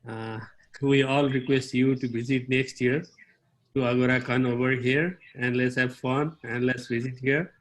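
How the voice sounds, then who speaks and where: A man's voice: medium pitch, fairly even intonation, normal speed, poor audio. A man from Nepal with a Nepali accent, giving an introduction as a guest at an online meeting. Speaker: club ambassador, meeting guest. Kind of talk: self-introduction speech. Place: online video call.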